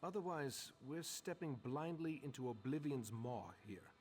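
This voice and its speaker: An actor playing an earnest, authoritative master of a mage order in a video game, measured and formal. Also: male voice, on the high side, lively intonation, a little mellow, breathy edge. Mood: serious, instructing. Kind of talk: video game character acting.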